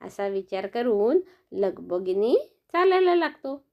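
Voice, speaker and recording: A woman's voice: mid-range voice, very animated, slow, highs cut off. A woman telling a bedtime story to children in a sing-song, coaxing manner, often asking them questions.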